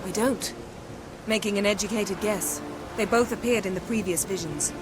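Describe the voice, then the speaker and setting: An actress playing a self-assured, imperious sorceress in a video game, composed and curt. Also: female voice, mid-range voice, moderate pace, natural voice. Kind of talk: video game cutscene acting. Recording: loud music and effects behind.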